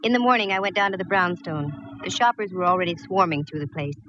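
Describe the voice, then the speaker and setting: Actress doing a wisecracking gal detective, lowish voice, old muffled radio show, plucky. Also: female voice, expressive, quick pace, natural voice. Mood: wry narrating. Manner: wry, wisecracking. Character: wry, plucky female private eye. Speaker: actress narrating and playing lead. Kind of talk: 1940s radio detective drama acting. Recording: muffled, lightly hissy old radio recording.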